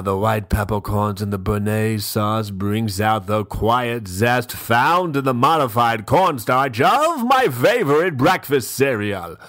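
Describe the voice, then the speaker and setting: A man playing a pompous, fussy, self-indulgent gentleman host who gives mock-literary dramatic readings with drawn-out, theatrical pauses. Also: lowish voice, exaggerated voice. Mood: indulgent, mock-tragic.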